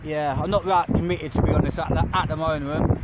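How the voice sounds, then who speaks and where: A man's voice: on the high side, fairly quick. Chatty man on a windy clifftop. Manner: rambling, relaxed, with frequent fillers. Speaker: radio hobbyist. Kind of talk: casual walkie-talkie chat. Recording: muffled, heavy wind noise.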